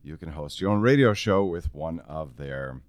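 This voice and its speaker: A man's voice: a bass voice, animated, moderate pace, no echo. A middle-aged man talking as a podcast guest in casual chat, projecting strongly and laughing often.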